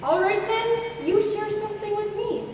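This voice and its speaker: Aggrieved, needy woman played by an amateur actress in stage comedy; very high pitch, distant reverberant recording. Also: moderate pace, noisy background. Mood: earnest, hurt. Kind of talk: amateur comic stage play acting. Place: school theatre stage, recorded from the audience. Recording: very echoey hall from far off.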